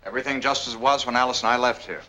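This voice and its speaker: Actor as earnest 1940s leading man, bright forceful voice. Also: mid-range voice, racing, close to an everyday voice, poor audio. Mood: steady. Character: earnest, lovestruck man defending his missing fiancée. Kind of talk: 1940s film drama acting. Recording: hissy 1940s film soundtrack.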